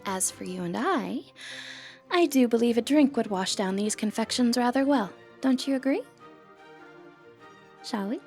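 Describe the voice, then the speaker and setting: Woman acting a flirtatious high-voiced lady thief. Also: expressive, measured pace, pauses often, a little mellow. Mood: teasing, wistful. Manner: playful, teasing, genteel old-fashioned phrasing. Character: flirtatious, confident lady thief of noble upbringing. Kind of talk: ASMR fantasy romance roleplay acting. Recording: background music under voice.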